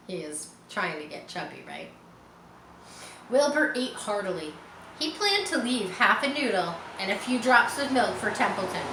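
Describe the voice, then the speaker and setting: A woman reading a children's story aloud in an expressive, informal way, as a storybook narrator. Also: medium pitch. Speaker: storybook reader. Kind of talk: children's read-aloud with casual asides. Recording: roomy echo, faint background noise.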